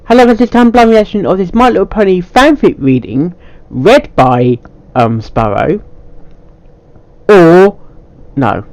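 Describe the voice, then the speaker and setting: A man with a slightly high voice talks casually as host of a reaction video. His pitch jumps around in a very lively way. He speaks without a script, stumbling over words and going back to repeat phrases. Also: measured pace, no room sound. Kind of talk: casual unscripted reaction commentary.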